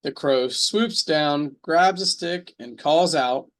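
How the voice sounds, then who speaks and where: A man's voice: on the high side, slow pace, a bit bright. A man narrating an amateur radio drama table read in a plain storybook reading style.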